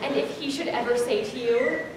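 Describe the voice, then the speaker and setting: A woman's voice: sits high, moderate pace. A teenage girl performing a melodramatic, gushing reading in a school readers theater. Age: teens. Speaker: student performer. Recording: echoey room from far off with noise.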